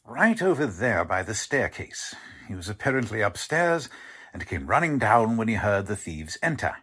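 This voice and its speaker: An actor playing a polite, defensive warehouse manager in a 1940s radio drama, formal and hesitant in manner. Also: male voice, fairly low pitch, very animated, moderate pace, pushed and bright, husky, exaggerated voice. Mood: formal, explanatory. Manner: courteous, hesitant, fussily formal.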